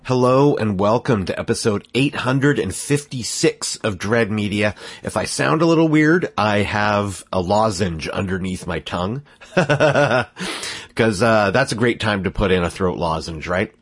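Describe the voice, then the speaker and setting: A man's voice: fairly low pitch, lively intonation, unhurried, no echo. A congested man hosting a podcast, rambling casually and cutting himself off as he goes. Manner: rambling, self-interrupting, laughs at himself. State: congested from a cold. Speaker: podcast host.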